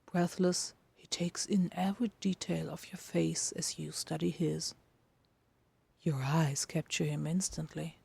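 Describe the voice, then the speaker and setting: A woman narrating a dramatic fiction reading in a hushed, intimate manner with deliberate phrasing. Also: sits low, steady tone, gravelly, husky. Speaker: podfic narrator.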